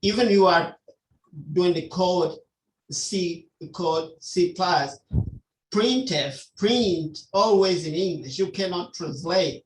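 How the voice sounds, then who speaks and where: A man from Angola with an Angolan Portuguese accent, giving earnest advice in halting, emphatic non-native English. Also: high-pitched, slight background noise. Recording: roomy, echoing call audio.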